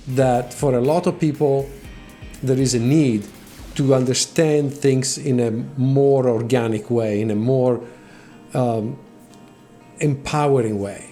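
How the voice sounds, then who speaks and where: A man's voice: mid-range voice, warm tone. Italian-accented man hosting a tech channel. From Italy. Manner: earnest, with repeated words and restarts. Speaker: channel host. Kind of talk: talking to camera, motivational explainer. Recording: faint music behind voice.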